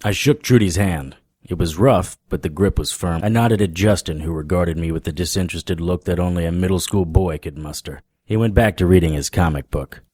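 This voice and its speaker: A man playing a wry, world-weary supernatural private detective, narrating a noir radio drama in a deadpan, sardonic way. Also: low-pitched, brisk, breathy edge, no echo, clean studio sound.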